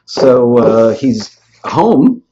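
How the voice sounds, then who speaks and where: A man with a mid-pitched voice hosts a live interview show. He chats casually and jokes as he rambles along. The audio is slightly dull and lo-fi, like a web stream. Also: very animated, very slow, dark tone.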